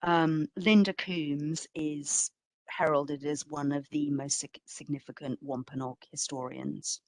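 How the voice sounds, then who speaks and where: Low-voiced woman speaker, measured and slow. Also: fairly even intonation, no room sound. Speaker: exhibition curator, guest speaker. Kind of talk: answering an audience question in an online talk.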